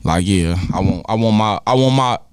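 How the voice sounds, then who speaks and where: Laid-back smooth-voiced man, slangy. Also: fairly low pitch, moderate pace, no echo, studio quality. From Chicago. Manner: laid-back, slangy, frequent "you feel me". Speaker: guest rapper. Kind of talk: casual interview chat.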